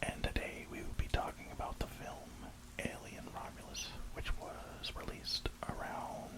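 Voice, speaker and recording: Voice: normal speed, rough recording. A person whispering an informal ASMR review, rambling along hesitantly with frequent asides. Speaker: ASMR video creator reviewing a film.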